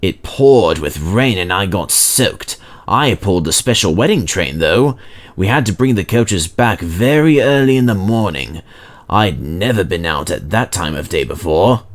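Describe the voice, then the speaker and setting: A man's voice: low-pitched, animated, measured pace. A man voicing a proud, chatty male steam engine in a storybook, boasting dramatically about adventures.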